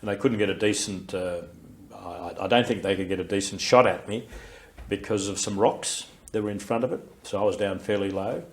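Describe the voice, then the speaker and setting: Deep-voiced older Australian man reminiscing. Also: fairly even intonation. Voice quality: loud, carrying voice. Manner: reflective, unhurried recollection with many fillers and restarts. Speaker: interviewee, war veteran. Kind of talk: recorded oral history interview.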